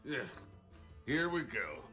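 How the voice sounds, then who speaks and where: A man's voice: mid-range voice, sing-song, slow pace, pushed and bright, soft background music, exaggerated voice. An actor playing a wry, casual male elf, an outlaw mage, in a fantasy video game. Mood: urgent. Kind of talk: video game cutscene acting.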